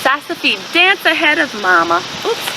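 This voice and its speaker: Animated woman reading to children, higher-pitched. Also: background noise. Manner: teacherly, asks listeners questions and pauses to affirm answers. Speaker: storyteller and host of a children's read-aloud channel. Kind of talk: expressive children's story read-aloud.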